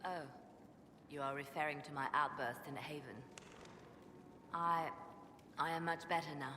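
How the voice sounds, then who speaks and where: A woman playing a melancholy, devout spymaster in a video game, speaking in a measured, solemn way. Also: low-pitched, steady tone. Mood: sorrowful, subdued. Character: melancholy, devout female spymaster haunted by grief. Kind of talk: video game cutscene acting. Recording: echoey hall, loud background noise.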